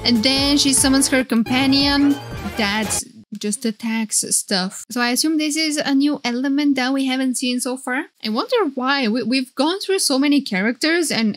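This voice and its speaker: A high-pitched woman streams her reactions in a casual, excited way. She is playful and gushes freely, with trailer music playing clearly behind her. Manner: playful, gushing. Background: game trailer music.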